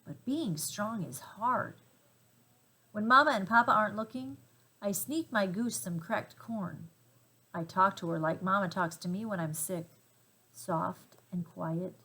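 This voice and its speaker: A woman reading a children's storybook aloud with gentle, deliberate phrasing and dramatic emphasis. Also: medium pitch, breathy edge, low-quality recording. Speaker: storybook reader.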